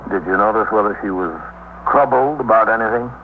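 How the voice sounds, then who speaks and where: A man playing a steady, firm police captain in a 1950s radio drama, measured and patient. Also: fairly low pitch, lightly acted. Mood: calm, probing. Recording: tinny, noisy 1950s radio recording.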